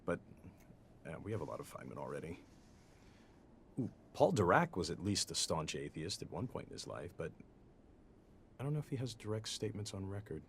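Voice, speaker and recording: A man's voice: sits low, very animated, a little fast, vocal fry, pauses often, a little hiss or hum, heavily acted. A man playing a wry, easygoing researcher who talks colloquially in a casual audio drama discussion. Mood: musing.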